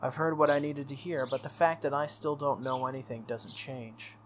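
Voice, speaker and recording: A man's voice: medium pitch, fairly even intonation, moderate pace, slight background noise. A man reading visual novel narration aloud as the host of a playthrough. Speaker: gaming channel host. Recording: music under the voice. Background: game music.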